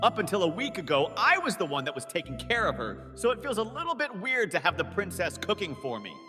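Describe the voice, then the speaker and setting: An actor playing an earnest, easily flustered young student butler in an anime-style romantic comedy dub, polite and devoted. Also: male voice, high-pitched, very animated, brisk, music in the background.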